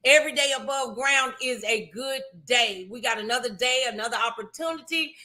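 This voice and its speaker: A woman's voice: on the high side, a little fast, bright, forceful tone. A woman hosting a morning talk show interview, speaking in an effusive, encouraging way and often repeating her phrases.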